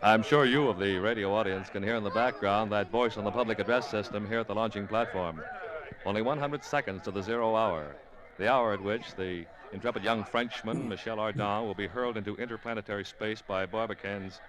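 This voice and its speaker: A man's voice: on the low side, brisk, natural voice. A man playing a radio announcer reporting live from a rocket launch in an old-time radio drama. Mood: urgent reporting. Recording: old radio drama, crowd noise behind. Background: launch crowd and outdoor bustle.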